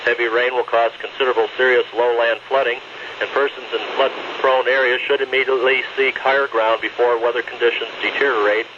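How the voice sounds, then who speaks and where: A man reading an official weather bulletin as a radio announcer, in a formal, matter-of-fact manner. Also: medium pitch. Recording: dull noisy radio broadcast recording.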